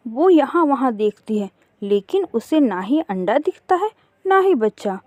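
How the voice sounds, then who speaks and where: A woman's voice: fairly high pitch, dark tone, no echo. A woman narrating a children's cartoon story as a storyteller.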